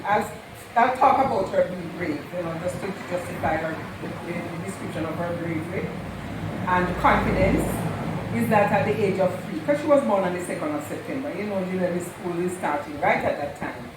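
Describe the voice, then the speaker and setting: A woman, the host's mother, fondly telling stories about her daughter's childhood at an unhurried pace and repeating herself for emphasis. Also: on the low side. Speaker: mother of the channel host, guest. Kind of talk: casual storytelling. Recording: echoey room, distant mic, noisy.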